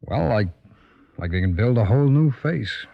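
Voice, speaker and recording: A man acting in an old-time radio drama as a patient, fatherly lawman, gentle and persuasive. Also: mid-range voice, expressive, moderate pace, vocal fry. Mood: gentle persuasion. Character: patient fatherly lawman counselling a troubled youth. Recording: muffled old radio recording.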